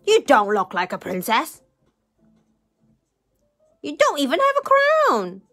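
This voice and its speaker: A woman's voice: sits high, wildly swooping pitch, slow pace, fairly bright tone, soft background music, voice pushed up, heavily acted. A woman voicing a rude, pompous rich man in a children's story, curt, bellowing and snappish. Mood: snapping scorn.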